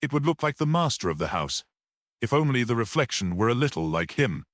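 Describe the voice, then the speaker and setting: A man voicing a mild, perceptive priest-detective in a story, grave, measured and almost dreamy as he reasons. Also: mid-range voice, big pitch swings, a bit bright, no echo, studio quality. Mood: grave, musing.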